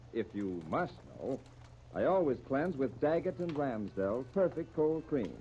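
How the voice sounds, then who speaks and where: A man's voice: mid-range voice, darkish tone. An American man announcing a radio serial and its sponsor in scripted 1930s style, on a muffled, noisy old recording.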